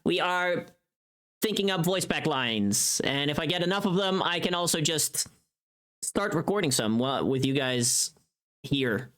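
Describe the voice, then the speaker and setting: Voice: mid-range voice, animated, a little slow, a bit bright. A streamer of unclear sex chatting casually to a live audience and thinking aloud while working. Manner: thinking aloud, humming little tunes between remarks. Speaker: streamer and voice performer. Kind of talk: casual livestream chat.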